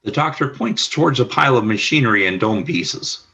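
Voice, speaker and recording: A man narrating the stage action of an amateur radio play in an even reading. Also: lowish voice, fairly quick, breathy edge.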